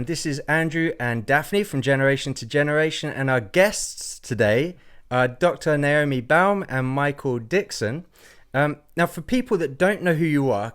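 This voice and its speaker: A man's voice: mid-range voice, a bit bright, clear, resonant tone. A man hosting a podcast, introducing the show and his guests. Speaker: podcast host.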